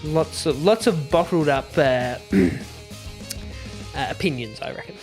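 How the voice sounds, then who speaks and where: A man talking casually and a little haltingly as a player on a gaming podcast. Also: a little high, soft background music. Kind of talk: casual group banter.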